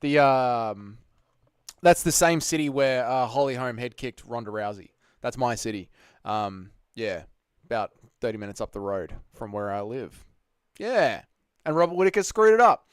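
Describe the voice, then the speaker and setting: A young Australian man hosting a live stream, chatting with viewers in excitable, slangy, sweary banter. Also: mid-range voice, clear, resonant tone, no room sound, clean studio sound. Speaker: streamer host.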